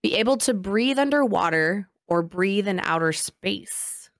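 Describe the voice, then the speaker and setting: Co-host: young woman, bouncy animated pitch, teasing, giggly, dry booth sound. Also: measured pace, dry recording. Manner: playful, teasing, laughs often. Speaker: podcast co-host. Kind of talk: casual podcast chat.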